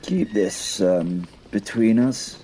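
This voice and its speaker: An actor playing a wry, battle-hardened but nervous medieval knight in an audio drama, halting and stammering. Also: male voice, fairly low pitch, dark tone, slight background noise, rough recording. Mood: nervous confiding. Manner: stammering, hesitant, chuckling.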